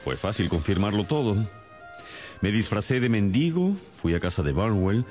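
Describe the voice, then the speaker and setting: An actor playing a shrewd, self-assured detective in a radio drama, explaining things in a deliberate, theatrical manner. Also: male voice, low-pitched, a little mellow.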